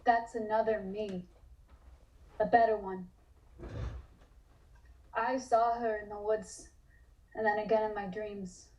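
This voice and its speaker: A woman's voice: medium pitch, fairly even intonation, slow, pauses often, darkish tone, muffled recording, close to an everyday voice. A woman playing a drugged, withdrawn young artist held in an asylum, in a script read-through. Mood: flat, withdrawn.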